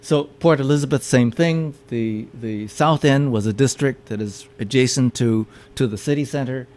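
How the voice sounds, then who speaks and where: A man's voice: medium pitch, moderate pace, darkish tone. A man giving a public lecture with slides, often stopping to repeat his words as he explains. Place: meeting room with audience.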